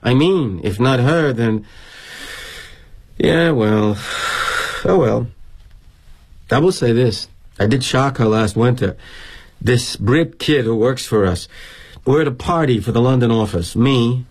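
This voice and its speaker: An actor playing a husky, sardonic corporate executive. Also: male voice, mid-range voice, slow pace, pauses often, a little mellow. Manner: wry, sardonic, confiding. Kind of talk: theatrical monologue.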